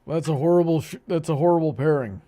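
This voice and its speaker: Man, livestream host, fairly high pitch, casual conversation, halting delivery. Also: warm tone.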